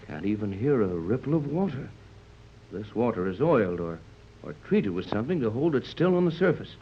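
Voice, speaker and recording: A steady, commanding captain from a 1930s radio adventure serial. His voice sits low, with a measured, fatherly authority. The old recording is dull and faintly noisy. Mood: grave, watchful. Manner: measured, authoritative, gives orders.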